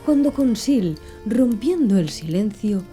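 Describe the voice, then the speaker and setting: A woman's voice: medium pitch, expressive, slow. A woman reading a classic novel as an ASMR audiobook narrator, in a scripted literary style. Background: soft music.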